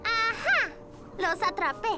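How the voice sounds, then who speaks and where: A woman's voice: very high-pitched, big pitch swings, slow, loud music behind the voice, full character voice. A woman voicing a bookish, self-assured little girl detective in a dubbed children's cartoon, wordy and dramatic. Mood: proud, dramatic.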